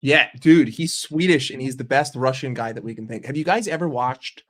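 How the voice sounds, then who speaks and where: A man's voice: mid-range voice, moderate pace, fairly bright tone. A young man streaming, chatting casually with his viewers, joking and swearing freely. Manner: profane, joking, reacting to chat. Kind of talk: casual livestream chat.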